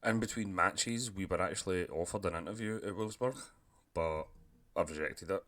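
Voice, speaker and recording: A man with a sore throat, casually commentating as he plays a football management game and clearing his throat now and then. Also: low voice, moderate pace. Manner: relaxed, chatty, clears throat often. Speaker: gaming YouTuber.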